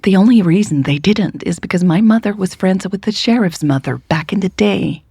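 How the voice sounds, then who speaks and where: A woman's voice: medium pitch, animated, moderate pace, dark tone, breathy edge, no echo. A woman playing a tired, dry-witted woman, sighing and under pressure, in an audio drama. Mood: weary, apologetic. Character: Maya, weary dry-witted woman, pressured but loyal.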